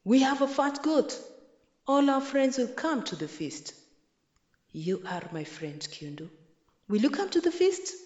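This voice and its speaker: Woman reading as a friendly, excited village man, very animated. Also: high voice, a little slow, long pauses, highs cut off, voice pushed up. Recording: echoey, roomy sound.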